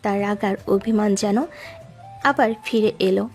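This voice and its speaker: A woman's voice: mid-range voice, level tone, moderate pace, a little mellow. A woman narrating a scripted romantic story in a dramatic storytelling style. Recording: music behind the voice.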